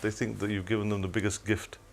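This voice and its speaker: Older man from Tanzania, very low voice, reflective studio guest, halting and full of restarts. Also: flat delivery, creaky, slight background noise. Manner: reflective, hesitant, many restarts. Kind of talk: telethon studio interview.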